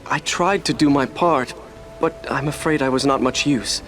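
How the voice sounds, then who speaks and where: Game casting: man as young human ranger, medium pitch, modest and sincere, music in the background. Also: normal speed, a bit bright, lightly acted. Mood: shaken, humble. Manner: modest, sincere, slightly formal. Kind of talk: dramatic fantasy video game acting.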